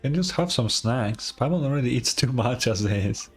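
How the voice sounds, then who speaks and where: A man's voice: mid-range voice, lively intonation, normal speed, faint music behind. A man giving casual, laid-back reaction commentary as a game streamer, with short dry interjections.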